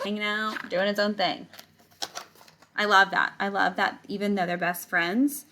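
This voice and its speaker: A woman's voice: mid-range voice, slight background noise. A woman reading a picture book aloud to children like a teacher, stretching words and adding casual explaining asides to her young listeners. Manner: animated storytelling, stretches words, chats directly to young listeners.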